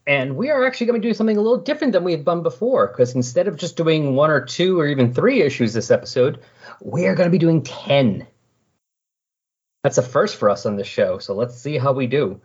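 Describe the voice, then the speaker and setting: A man's voice: fairly high pitch, animated, a little fast, darkish tone, husky, dull audio. A man hosting a hobby podcast, talking in a casual, conversational style. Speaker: podcast host. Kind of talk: casual comics podcast talk with read synopsis.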